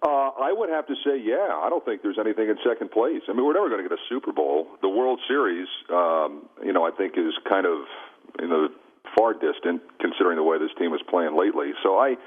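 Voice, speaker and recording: Through a narrow, phone-like sound comes a low-voiced man with a husky rasp. He is a sports broadcaster chatting casually as a guest, making his points emphatically. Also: animated, brisk. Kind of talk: casual sports talk banter. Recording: muffled, phone-like narrow band.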